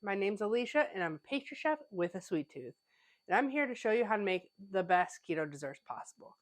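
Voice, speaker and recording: Brief: woman home baker hosting her channel, mid-pitched, slightly congested from a cold, chatty and casual. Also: big pitch swings, brisk. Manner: chatty, conversational, with frequent "like" fillers. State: stuffy, getting over a cold. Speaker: pastry chef and cooking channel host. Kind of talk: casual cooking tutorial. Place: home kitchen.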